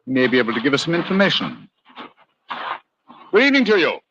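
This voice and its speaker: An actor playing an incisive, commanding English gentleman detective with a British accent in a 1940s radio drama, clipped and theatrical. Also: fairly high pitch, huge pitch swings, fast talker, background noise. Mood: brisk, courteous. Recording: dull, dry old radio recording.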